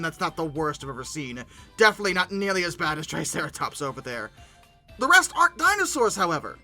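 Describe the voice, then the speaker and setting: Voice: medium pitch, sharp tone. A host giving comedic commentary on pictures in informal, slangy talk, with exasperated, dramatic emphasis. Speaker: host of a paleoart commentary show.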